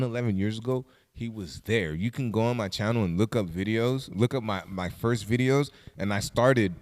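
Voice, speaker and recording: A young man from Southern California hosting a casual podcast chat, animated and cursing freely. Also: mid-range voice, big-room sound. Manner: animated, swearing, laughing often. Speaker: podcast host.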